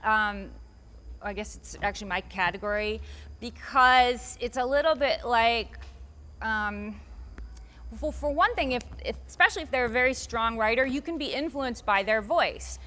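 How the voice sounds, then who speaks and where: A woman's voice: a little high, moderate pace, fairly bright tone. A woman author answering interview questions in a chatty, rambling way, restarting her sentences often and lifting her voice for emphasis.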